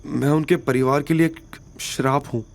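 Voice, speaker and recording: A man's voice: medium pitch, steady tone, normal speed, vocal fry, voice pushed up, lightly acted. A man voicing an emotional, wronged young woman in an audio drama, pleading and bitter. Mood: sad, resigned.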